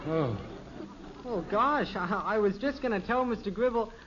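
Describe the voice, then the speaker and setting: A man's voice: high voice, lively intonation, moderate pace, a bit bright, some chatter behind. An actor playing an eager, awkward teenage boy in a 1950s radio sitcom, earnest, polite and stammering. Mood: sheepish. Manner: stammering, gee-whiz earnest. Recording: muffled, noisy old radio recording.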